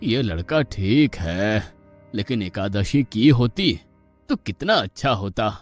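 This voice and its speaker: A man voicing a pious, patient but gently exasperated ashram guru who coaxes in a measured way in a moral story. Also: fairly low pitch, sing-song, no echo, soft background music. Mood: exasperated, instructing. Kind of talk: animated moral story dialogue in Hindi.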